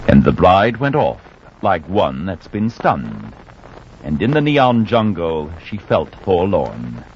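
A solemn, poetic storyteller from an old radio play. His deep voice moves slowly and theatrically through the verse, on a dull, hissy recording. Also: huge pitch swings, exaggerated voice. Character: solemn mock-epic male narrator of a rhymed tale. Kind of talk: 1940s radio verse narration.

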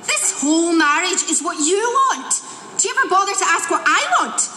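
An actress playing a headstrong, defiant teenage princess in an emphatic, impassioned way. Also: female voice, extremely high pitch, expressive, normal speed, sharp tone, full character voice. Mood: angry. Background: music.

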